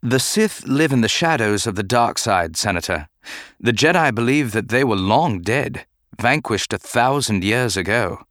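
Man voicing male Jedi master, grave, composed, formal, measured, guarded, audiobook drama, dry sound. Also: medium pitch, expressive, a bit bright, no echo. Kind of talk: dramatic audiobook character acting.

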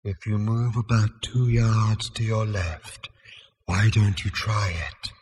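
A man voicing a quiet, deep, disembodied custodian in an audiobook, murmuring with soft, wistful, ethereal politeness. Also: fairly even intonation, husky, exaggerated voice. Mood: calm, courteous. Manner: slow, politely melancholy murmur.

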